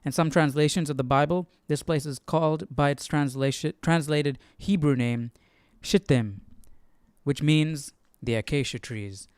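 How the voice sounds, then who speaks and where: Clear-voiced man musing casually. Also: on the high side, measured pace, a little mellow, no echo, studio quality. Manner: musing, tags sentences with "right?". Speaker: host. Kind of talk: casual live Bible study commentary.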